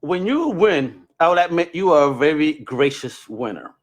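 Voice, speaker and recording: A man's voice: fairly high pitch, sing-song, moderate pace. A man hosting a podcast in a casual, slangy chat style full of informal banter. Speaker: podcast host.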